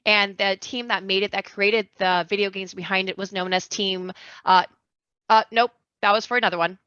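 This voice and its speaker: A woman's voice: mid-range voice, steady tone, moderate pace, bright, forceful tone, smooth, no echo, muffled recording. A woman guesting on a podcast, talking casually in a slangy, rambling, informal way.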